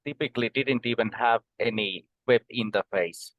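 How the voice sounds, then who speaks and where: Give me a middle-pitched Finnish man with a measured, explaining manner and lots of filler pauses, recorded dry. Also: no room sound, phone-quality sound. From Finland. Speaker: guest. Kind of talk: conversational podcast interview.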